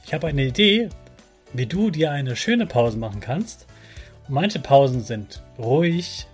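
A man with a husky, medium-pitched voice hosts a podcast for children. He speaks straight to the young listener in simple, encouraging phrases. Music plays behind his voice. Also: lively intonation, a little slow. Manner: encouraging, addresses the child directly in simple words. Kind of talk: motivational children's podcast talk.

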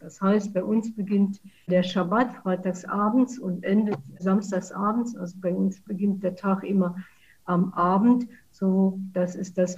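Woman, mellow voice. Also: mid-range voice, dull audio. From Saarland, Germany. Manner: measured, explanatory. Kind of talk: interview answers.

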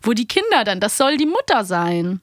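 A woman talks as a podcast co-host in a fairly high voice. Her delivery is casual and colloquial, and the sound is dry, with little room in it. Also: sing-song, normal speed, dry recording. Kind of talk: casual chat about audio dramas.

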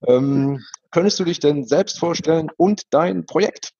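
Husky guy doing a podcast intro, kinda halting, dull dry lo-fi sound. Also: a little high, dark tone. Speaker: podcast host.

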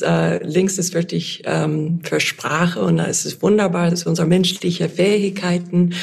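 A woman with an American accent, a guest on a podcast, speaking German as a second language slowly, searching for words with many fillers. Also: on the low side, vocal fry, a little mellow. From United States. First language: English. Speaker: guest, pianist and psychologist. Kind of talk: podcast interview.

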